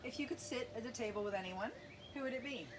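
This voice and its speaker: A woman in her forties hosting a casual interview, gushing and animated, breaking into gasps and laughs. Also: fairly high pitch, fast talker. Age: 40s. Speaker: podcast host. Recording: noisy background.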